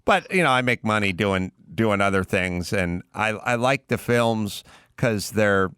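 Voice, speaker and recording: A middle-aged man hosting a casual podcast interview, asking questions in a relaxed, thoughtful way. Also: on the low side, measured pace, clear, resonant tone, dry recording, studio quality. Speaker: podcast host.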